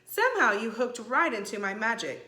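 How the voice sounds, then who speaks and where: A woman voicing a calm, gracious old enchantress in a children's storybook, speaking in a measured, precise way. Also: fairly high pitch, roomy sound. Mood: kindly, explaining.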